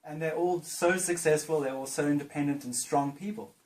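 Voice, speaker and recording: A man's voice: fairly high pitch, a little fast, darkish tone. Chatty male interviewer in a roomy space. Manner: chatty, encouraging questions. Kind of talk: casual interview. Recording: roomy sound with faint noise.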